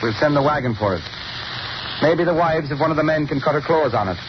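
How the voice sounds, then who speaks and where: A man's voice: fairly high pitch, expressive, fast, natural voice. A man playing an Irish-brogue police lieutenant. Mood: gruff. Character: chatty Irish beat cop, man. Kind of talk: 1930s radio drama acting. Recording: dull old radio broadcast with hiss.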